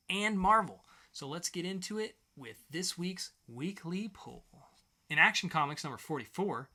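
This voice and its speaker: A man with a forward, bright voice that sits a little high. He talks like an enthusiastic podcast co-host in casual review chat, repeating himself to drive a point home. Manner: enthusiastic, repeats phrases for emphasis.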